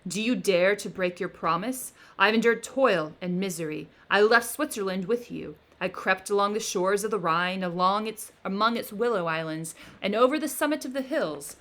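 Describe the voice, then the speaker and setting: Woman reader voicing a lonely, menacing male creature: bitter, vengeful, declaimed loud. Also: medium pitch, expressive, moderate pace, hardly any pauses, natural voice. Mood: accusing.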